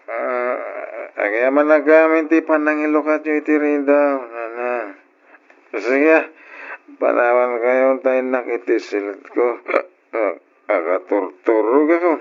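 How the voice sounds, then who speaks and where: Creaky, heartbroken young man. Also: mid-range voice, slow, long pauses. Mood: drunk, slurring. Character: heartbroken young man, drunken, self-pitying and wronged. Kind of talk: old Ilocano radio drama acting. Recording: dull lo-fi radio drama recording.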